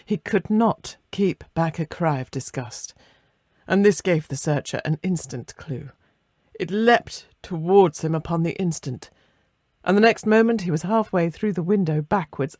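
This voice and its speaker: A narrator reading a classic ghost story in a measured, literary and expressive way, letting phrases soften at the end. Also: mid-range voice, dry recording, studio quality.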